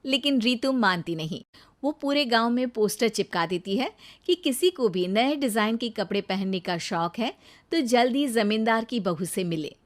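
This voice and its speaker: High-voiced woman storyteller. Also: lively intonation, normal speed. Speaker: story narrator. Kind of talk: scripted Hindi storytelling narration.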